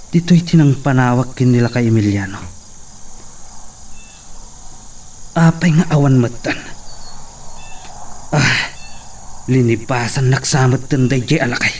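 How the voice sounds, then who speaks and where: Set back from the mic, a man acting a dutiful henchman speaks in a slightly high voice. He is earnest and watchful, in radio-drama style, with faint insects around. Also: a little mellow, recorded from a distance, slight background noise, natural voice. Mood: puzzled, suspicious.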